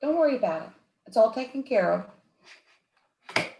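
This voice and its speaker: A woman's voice: fairly high pitch, very animated, moderate pace, background noise. A woman playing a sugary, two-faced femme fatale in a noir radio play, cooing and melodramatic. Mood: coy, scheming. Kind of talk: 1940s noir radio acting, live community theatre. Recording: roomy, echoing live stage recording.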